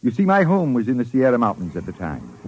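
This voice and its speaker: An actor playing a gracious, lonely older doctor, halting and emotional, in 1950s radio drama style. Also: male voice, medium pitch, huge pitch swings, darkish tone, slightly rough, muffled recording. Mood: wistful. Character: elderly doctor, gracious lonely host and family man. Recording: noisy vintage 1950s radio recording.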